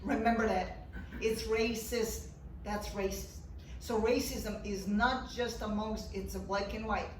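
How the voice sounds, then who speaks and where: A woman's voice: medium pitch, steady tone, measured pace. A woman co-host who speaks emphatically, lecturing and repeating her key points in a panel discussion. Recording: echoey room from far off, faint noise.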